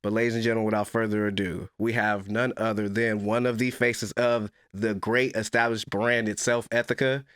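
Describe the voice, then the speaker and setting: A man's voice: on the low side, level tone, normal speed, hardly any pauses, fairly bright tone, smooth, no echo, clean studio sound. A man hosting a casual interview podcast, giving upbeat hype-style introductions with some slang. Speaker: podcast host.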